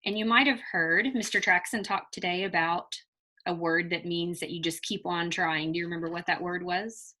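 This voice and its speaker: A woman with a bright, forward voice reads a children's story as a teacher would. Her delivery is expressive and emphatic, with key words set out deliberately for young listeners, and she speaks to them directly. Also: fairly low pitch. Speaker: teacher reading a picture book to children.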